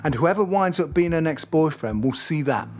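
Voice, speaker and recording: A man's voice: a little high, lively intonation, moderate pace, slight background noise, lightly acted. A man playing a hurt, earnest, loyal boyfriend in an audio drama, pleading and protesting. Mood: protesting, defensive.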